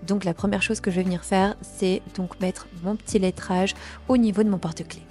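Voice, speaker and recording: A woman's voice: medium pitch, steady tone, fairly quick, faint music behind. A young woman whose first language is Portuguese, chatting casually through a crafts tutorial with many small fillers. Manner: chatty, warm, many fillers like euh and ben. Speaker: DIY and family vlogger.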